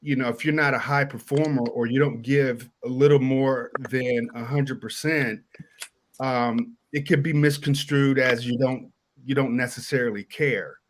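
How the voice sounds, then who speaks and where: A man speaking as a panel guest, measured and a little hesitant, with fillers. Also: medium pitch, fairly even intonation, slight background noise. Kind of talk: reflective panel talk. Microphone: video call.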